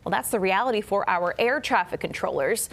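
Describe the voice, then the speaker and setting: A woman's voice: medium pitch, lively intonation, a little fast. An American woman working as a news anchor, speaking conversationally as she leads a broadcast interview.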